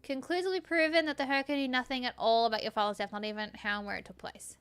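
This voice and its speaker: A woman's voice: sits high, steady tone, very fast, a bit bright, clear, resonant tone. A woman reading a visual novel's composed palace advisor aloud in a formal, matter-of-fact way, as if reporting to royalty. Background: background music.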